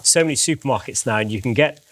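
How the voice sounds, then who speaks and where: Fast-talking enthusiastic cooking show host. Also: medium pitch, expressive, a bit bright. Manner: enthusiastic, chatty, stumbles and restarts. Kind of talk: casual cooking chat.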